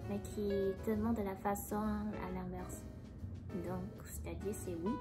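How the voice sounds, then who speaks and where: A young woman from Taiwan, speaking French as an in a casual, hesitant chat while answering a quiz. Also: fairly high pitch. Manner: soft-spoken, hesitant, pauses to find words. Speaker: vlogger. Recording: background music under the voice.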